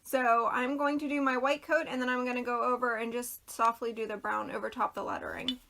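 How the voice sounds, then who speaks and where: A woman's voice: a little high, fairly even intonation, a little fast, creaky, crowd noise. A woman hosting a casual craft livestream, chatting loosely and greeting viewers by name, her voice a little worn and throaty. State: losing her voice, clearing throat. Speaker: craft video host.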